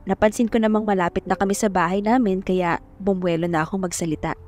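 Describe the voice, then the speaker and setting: A woman's voice: medium pitch, steady tone, fast, a little mellow, soft background music, close to an everyday voice. A woman playing a shy, smitten young woman narrating an audio drama, with a Filipino accent.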